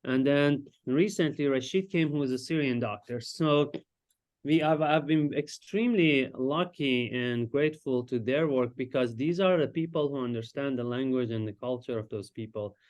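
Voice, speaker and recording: Guy giving a talk, mid-pitched voice, lots of little pauses to find words. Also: darkish tone. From Iran. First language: Farsi. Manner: explanatory, searches for words with frequent hesitations. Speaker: psychiatrist presenting research. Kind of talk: lecture presentation.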